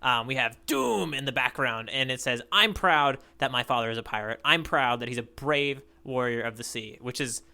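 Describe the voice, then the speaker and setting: Bright, clear-voiced man in casual chat. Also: mid-range voice, normal speed, hardly any pauses. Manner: playful, teasing, rambling with fillers. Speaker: podcast co-host.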